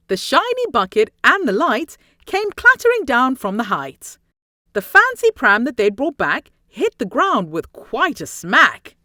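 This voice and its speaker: A woman with a high voice reads a rhyming children's story aloud. Her pitch swings widely as she leans into dramatic emphasis, phrasing each line deliberately. The sound is close and dry. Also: sharp tone, no room sound. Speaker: storybook reader.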